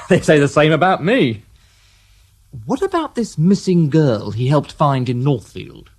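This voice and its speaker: Gruff sceptical inspector, sardonic, expressive pitch. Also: male voice, measured pace, exaggerated voice. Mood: dry. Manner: blunt, dry and sarcastic. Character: gruff sceptical middle-aged police inspector. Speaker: detective inspector. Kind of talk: radio drama acting.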